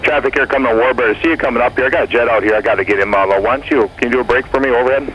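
A man's voice: mid-range voice, rapid-fire, fairly bright tone, lo-fi sound. A man working as an air traffic controller, giving clipped, courteous instructions over aviation radio. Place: airport tower radio, heard in a jet cockpit. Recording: aviation radio with faint noise.

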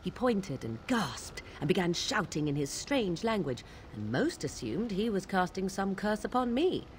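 A woman's voice: mid-range voice, very animated, measured pace, slight background noise. A woman playing a proud, sardonic young witch in a video game, speaking in formal, archaic, disdainful phrases. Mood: proud, reflective. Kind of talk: video game dialogue acting.

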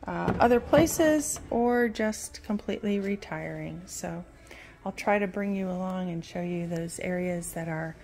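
A woman strolls through a big antique mall with her phone, speaking slowly and loosely with plenty of fillers. Her voice sits in an ordinary middle register. Store music plays softly behind her on the phone recording. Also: medium pitch. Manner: slow, meandering, unhurried with frequent fillers. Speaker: antiques vlogger. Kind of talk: casual walk-through commentary. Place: large indoor antique mall. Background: store music playing faintly.

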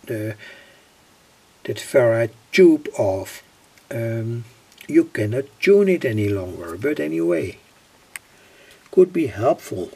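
Man, husky and gravelly, halting delivery, informal technical explainer, deliberate pauses. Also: mid-range voice, darkish tone. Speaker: electronics hobbyist presenter.

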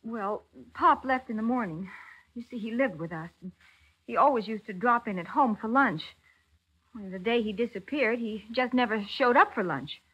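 Husky, emotional devoted daughter. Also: female voice, a little high, expressive, normal speed, a bit bright. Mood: wistful. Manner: tender, pleading. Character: earnest, emotional married daughter of missing man. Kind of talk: 1940s radio acting. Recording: muffled 1940s radio broadcast.

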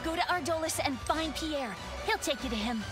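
Video game rebel heroine, young, feisty, urgent, very high voice, animated delivery. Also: female voice, normal speed, music in the background. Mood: urgent, defiant. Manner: urgent, spirited. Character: feisty, determined young female rebel fighter.

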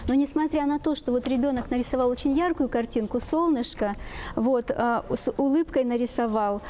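A middle-aged woman explaining things as an expert guest on a talk show, in a halting way that repeats phrases. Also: high voice, warm tone, slight background noise. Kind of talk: explaining children's drawings to parents. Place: TV studio with seated guests.

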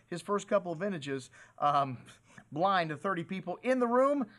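A man's voice: sits high, fairly bright tone. A middle-aged man hosting a wine shop video, talking casually and enthusiastically to camera about new bottles. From USA. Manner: enthusiastic, chatty salesman with "hey" and "man". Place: wine shop set in front of wine crates, indoors.

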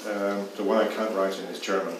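A man's voice: lowish voice, little pitch movement, fairly quick, background noise. A man in his fifties with an Irish accent, a poet answering questions on stage, hesitant and full of fillers and repeats. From Ireland. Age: 50s. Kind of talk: panel Q&A answers. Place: stage in a dim theatre hall. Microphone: far camera. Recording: echoey hall from far off.

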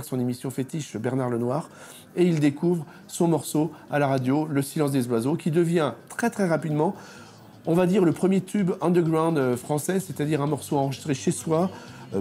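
A man in his fifties from France presenting an exhibition to camera in an informal, explanatory, slightly halting way. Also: a little high, dark tone. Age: 50s. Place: indoor exhibition, reconstructed bedroom.

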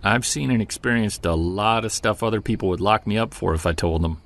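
A man's voice: lowish voice, a little fast, a bit bright. A man playing a patient, reassuring investigator, talking in a conversational, coaxing way in a podcast drama. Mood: reassuring, wry. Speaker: actor in audio drama. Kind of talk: naturalistic podcast drama dialogue, interviewing.

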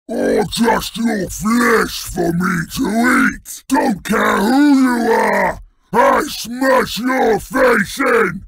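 A man's voice: high-pitched, a bit bright, no room sound, full character voice. An actor voicing a hulking, boastful, ever-hungry male troll king for a fantasy video game, growling and bellowing in blunt, broken speech. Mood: aggressive, roaring. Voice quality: gravelly, growling.

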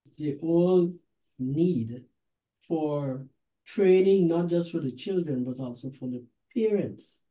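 A middle-aged man with a Guyanese accent hosting a talk show in deliberate, halting phrases from an office. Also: on the high side, warm tone. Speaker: host, reverend. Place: book-lined office. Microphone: video call. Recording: roomy, echoey sound.

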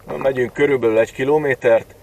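A man in his forties giving a relaxed, chatty gadget review, pausing often with filler sounds, recorded on a camera mic. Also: mid-range voice, creaky, noisy background. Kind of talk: casual product review vlog.

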